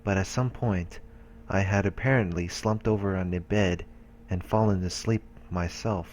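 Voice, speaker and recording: A man reading visual novel text aloud in a casual, halting way, as a let's player. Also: low voice, fairly even intonation, darkish tone, a little hiss or hum.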